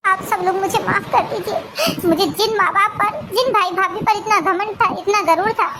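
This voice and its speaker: A very high, almost childlike voice over noticeable background noise: a woman playing a distraught young wife in a cartoon family melodrama. She pleads tearfully and stumbles over repeated words, full of remorse and desperation. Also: moderate pace.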